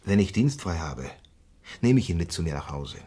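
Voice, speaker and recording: A considerate police commissioner in a 1970s radio crime play. The voice is deep and husky. He talks in a measured, soothing, matter-of-fact way. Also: very animated, dark tone, heavily acted. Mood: reassuring. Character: calm, considerate male police commissioner at bedside. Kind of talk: 1970s German radio crime drama acting.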